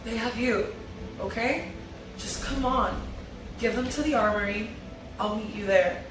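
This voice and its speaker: A woman's voice: fairly high pitch, measured pace. A woman reading character lines from a script in a casual dramatic way, in her everyday voice. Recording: heavily echoing, distant mic, background music. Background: music.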